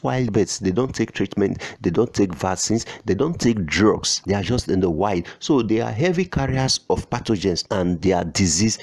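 Slow, low-voiced farmer explaining biosecurity. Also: male voice, animated, a little mellow. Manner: slow and deliberate, with fillers and restarts. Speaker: poultry farmer. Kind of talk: instructional voice-over.

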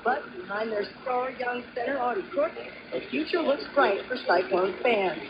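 High-voiced female radio sports reporter. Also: moderate pace. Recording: dull, noisy AM radio reception.

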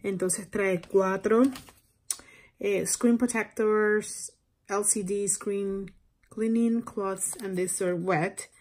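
A woman's voice: medium pitch, steady tone, slow, a little mellow. A woman in her thirties chatting casually to camera in a personal vlog. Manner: switches between English and Spanish. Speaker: vlogger. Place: parked or moving car, small home rooms.

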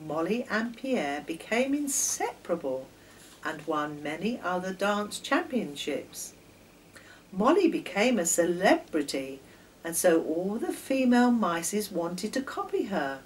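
A woman in her sixties reading a children's story aloud in a deliberate storytelling manner, pausing between phrases. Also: medium pitch, a little mellow. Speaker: children's story author and reader. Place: home kitchen, indoors. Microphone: camera a few metres away. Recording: distant camera mic, faint noise.

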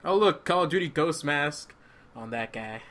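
A man's voice: fairly high pitch, lively intonation, moderate pace, a bit bright. A man chatting casually and jokingly as he plays a co-op video game. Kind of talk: casual co-op gameplay chat.

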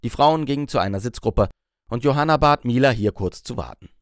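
A man's voice: medium pitch, expressive, a little fast, no room sound, clean studio sound. A man reading a novel aloud as scripted audiobook narration.

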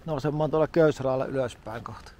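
A man's voice: medium pitch, darkish tone. A man casually narrating a road-trip vlog outdoors, talking plainly about the day's plans. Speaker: travel vlogger. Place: outdoors, by a car park in a mountain town.

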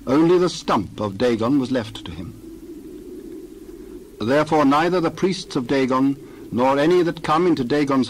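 A man's voice: medium pitch, slight background noise, rough recording. Man reading scripture steadily. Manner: measured, steady narration. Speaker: scripture reader.

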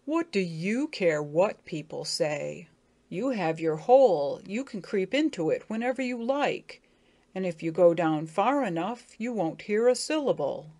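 A woman's voice: medium pitch, expressive, a little slow, warm tone. Woman doing a stammering, wounded male beetle. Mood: bewildered. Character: earnest, self-pitying male dung beetle.

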